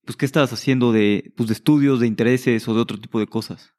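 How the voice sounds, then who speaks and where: Calm male interviewer asking questions. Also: medium pitch. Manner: measured, prompting questions.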